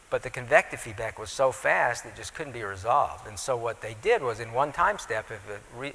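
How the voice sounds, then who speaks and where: A man with a bright, clear voice works patiently through a technical answer, pausing to check in with the audience. His voice rings with the long reverb of a lecture hall. He speaks in a measured, explanatory way. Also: medium pitch. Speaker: atmospheric physicist answering audience questions.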